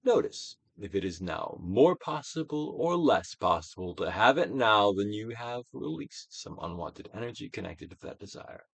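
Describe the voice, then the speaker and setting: Theatrical man reading with sweeping, animated pitch. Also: normal speed, creaky, few pauses, slightly rough, dry recording. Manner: theatrical, emphatic, with dramatic swells and hushed drops. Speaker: actor reading a self-help book aloud. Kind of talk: theatrical dramatic reading of scripted instructional text.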